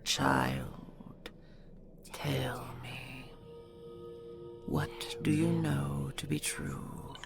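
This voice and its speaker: Deep, gravelly, menacing dragon queen. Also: steady tone, in a whisper, over music, heavily acted. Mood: cool probing. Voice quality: gravelly, growling. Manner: imperious, slow, deliberate, threatening. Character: menacing regal female dragon queen, cold and possessive. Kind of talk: audio roleplay acting.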